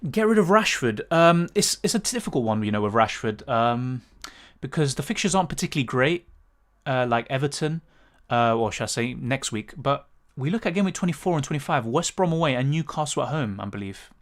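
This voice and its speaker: A man's voice: medium pitch, lively intonation, a little fast, hardly any pauses. British guy rambling through viewer questions on a live stream, lots of ums. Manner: chatty, thinks aloud with many fillers and restarts. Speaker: fantasy football streamer. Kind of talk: casual livestream chat answering viewer questions.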